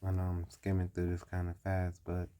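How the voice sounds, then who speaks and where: A man slowly talking through pictures on screen, repeating his points deliberately. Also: sits low, monotone, mellow. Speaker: commentator. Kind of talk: explanatory commentary over images.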